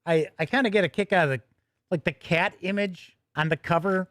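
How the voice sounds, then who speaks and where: A man with a notably high-pitched voice hosts a casual tabletop gaming stream. He talks conversationally, correcting himself and adding small asides as he goes. The sound is very dry and close, with no sense of the room. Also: fairly quick, fairly bright tone, no room sound. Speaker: host of a tabletop gaming news show. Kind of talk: casual livestream chat and news reading.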